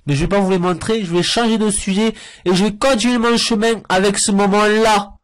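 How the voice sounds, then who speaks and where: Sarcastic man with a fairly high voice. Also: fairly even intonation, a little fast, soft background music. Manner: sarcastic, jokey, scornful. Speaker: cartoon reviewer. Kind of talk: mocking commentary over clips.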